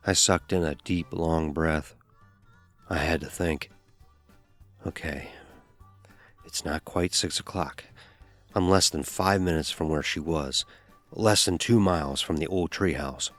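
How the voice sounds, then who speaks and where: A man's voice: a bass voice, measured pace, long pauses, fairly bright tone. A man narrating a first-person horror story in a tense, suspenseful, dramatic style. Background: music.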